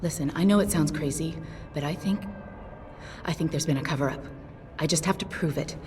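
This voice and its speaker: A woman's voice: low voice, brisk, darkish tone, slight background noise, natural voice. An actress playing a tough, guarded female pilot who swears often in a video game cutscene. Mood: upset. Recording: distant voice with long reverb over music.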